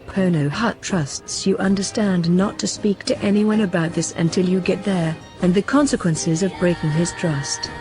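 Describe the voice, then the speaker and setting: Lady acting stern gangster go-between, cool n measured, mellow lowish voice, sci-fi audio drama. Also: fairly even intonation, soft background music, close to an everyday voice. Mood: coldly warning. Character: stern, threatening female underworld messenger for crime boss.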